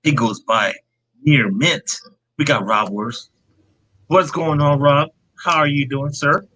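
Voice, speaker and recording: A man hosting a comic book panel on a live stream, laid-back and loose. His voice sits a bit high and sounds bright and forceful, and he drops "man" into most sentences. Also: slow pace, rough recording.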